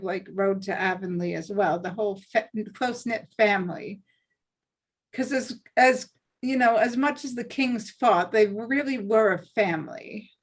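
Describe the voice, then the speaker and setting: Woman w a highish voice, just chatting casually with the group. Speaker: table read participant. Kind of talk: casual chat.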